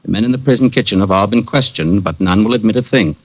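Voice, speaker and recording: Stiff pedantic police sergeant, low voice, played by a man. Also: fast, dry recording, natural voice. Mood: precise, matter-of-fact. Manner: stiff, precise, literal. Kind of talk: old-time radio drama acting. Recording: dull, dry vintage radio recording.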